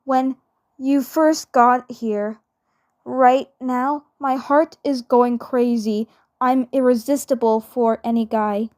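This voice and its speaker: Bold lovestruck girl, fan roleplay, acted by a woman; high pitched, unhurried, stumbles over repeats, dry sound. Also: fairly even intonation, darkish tone, dry recording. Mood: bold, adoring. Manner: halting, repeating words. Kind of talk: amateur fan dub roleplay.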